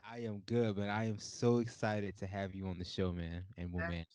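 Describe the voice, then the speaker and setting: A man's voice: low-pitched, level tone, moderate pace, darkish tone, dry recording. Guy hosting a podcast, chatty and upbeat, informal, dry close mic. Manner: upbeat, informal, addresses guests as "man". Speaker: podcast host and interviewer. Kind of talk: casual remote interview.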